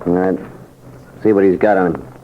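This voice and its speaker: An actor playing a cocky, menacing gang leader with a tough, bossy delivery in an old radio crime drama. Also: male voice, low voice, moderate pace, a little hiss or hum, lightly acted. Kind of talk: 1940s-50s radio crime drama acting. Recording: muffled old radio broadcast.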